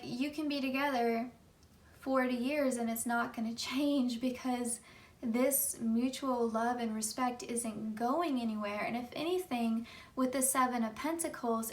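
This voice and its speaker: Tarot reader, woman, a bit hoarse, fairly high voice, chatty and filler-heavy, mic set back a little. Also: distant mic. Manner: chatty, many fillers like "you know". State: hoarse from just waking up. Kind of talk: pick-a-card tarot reading.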